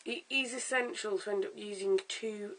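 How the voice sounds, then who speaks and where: A hoarse, croaky-voiced vlogger giving a casual at-home wig tutorial in a chatty, stop-start way, filmed on a camera's own mic. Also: medium pitch, fairly even intonation, moderate pace, fairly bright tone, poor audio. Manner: chatty, stop-start with fillers and self-corrections. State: hoarse, lost voice. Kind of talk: casual how-to demonstration.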